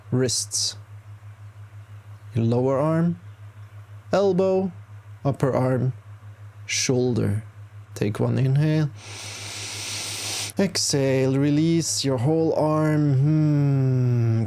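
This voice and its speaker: A man leading a guided meditation as a teacher, speaking calmly in short, unhurried instructions with pauses between them. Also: medium pitch, gravelly. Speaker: yoga nidra meditation teacher. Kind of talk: guided meditation, body scan.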